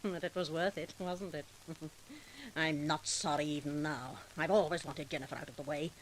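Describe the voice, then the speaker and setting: An actress playing a domineering, scheming murderess in 1940s radio drama style, commanding and coldly coaxing in a melodramatic way. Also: female voice, low-pitched, normal speed, lightly acted. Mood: cold contempt. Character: domineering, scheming murderous woman controlling a weaker man. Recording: hissy, noisy 1940s radio recording.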